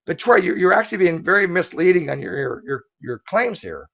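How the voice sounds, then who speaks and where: A hoarse man with a somewhat high voice argues back fast, stumbling over restarts as he corrects his opponent. The sound is dull and lo-fi. He hosts a heated online debate. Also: sing-song. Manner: forceful, quick rebuttals with restarts. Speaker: debate host.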